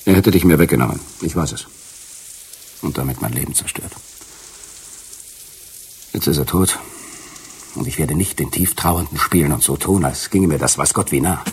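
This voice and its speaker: An actor playing a bitter, wounded betrayed husband in a German radio drama, grave and controlled, with a low, husky voice. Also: male voice, normal speed, long pauses, darkish tone, a little hiss or hum. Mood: cold, bitter.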